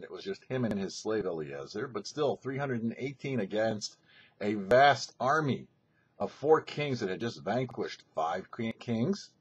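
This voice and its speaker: A male rabbi delivers a scripted sermon with a lively, swinging pitch and a husky edge to his voice. He is emphatic and instructive, setting out each phrase deliberately. The recording sounds somewhat dull and lo-fi. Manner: emphatic, didactic, rhetorical questions and deliberate phrasing. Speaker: rabbi, host of a weekly Torah show. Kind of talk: scripted religious teaching, sermon.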